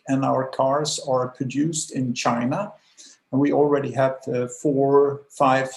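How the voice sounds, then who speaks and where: Casting: middle-aged man from Denmark, slightly higher voice with gravel, hesitant presenter on a video call. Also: a little mellow, roomy sound, poor audio. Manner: hesitant, many fillers. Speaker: guest presenter, automotive designer.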